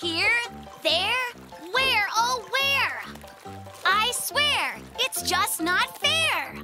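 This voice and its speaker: An earnest, anxious little polar bear cub in a kids' cartoon. The very high, lively voice frets in singsong, with loud music underneath. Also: female voice, drawn-out words, exaggerated voice. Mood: fretful searching. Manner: fretful, rhyming singsong muttering. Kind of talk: children's cartoon voice acting.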